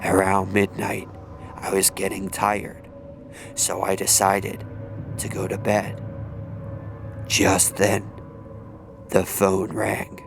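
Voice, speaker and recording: A man narrating as an unnerved college student telling a creepy story, in a hushed, deliberate, ominous manner. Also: sits low, monotone, vocal fry, over music, pitched below the performer's own voice, exaggerated voice. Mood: ominous, uneasy. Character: unnerved young male college student recounting a creepy night. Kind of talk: slow scary-story narration.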